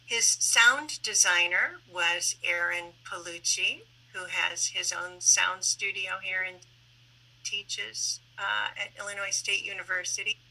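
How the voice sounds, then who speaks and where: Bright-voiced woman hosting, slow pace. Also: mid-range voice, creaky, rough recording. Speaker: host and moderator, library staff member. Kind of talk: introducing a panel and asking questions. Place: online video call. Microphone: video call microphone.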